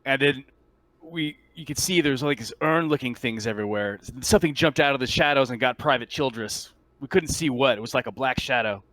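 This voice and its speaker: A man playing a shaken marine soldier in a tabletop roleplay, giving a halting, stammering account of a disastrous mission. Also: medium pitch, fairly bright tone, no room sound, close to an everyday voice, poor audio. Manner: halting, stammering, incredulous testimony. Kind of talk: improvised tabletop roleplay monologue.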